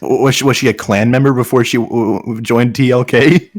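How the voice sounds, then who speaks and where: A man's voice: mid-range voice, steady tone, normal speed, no echo, studio quality. A man in casual comedic banter, reading aloud in a mock-earnest way and often laughing. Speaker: online comedy show host reading fanfiction aloud.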